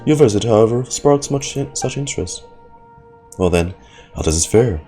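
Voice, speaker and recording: A man's voice: lowish voice, animated, moderate pace, warm tone, close to an everyday voice. A man voicing an ancient giant mushroom creature from a game, solemn and formal in archaic phrasing. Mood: solemn, stately. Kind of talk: reading game dialogue aloud. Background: game music.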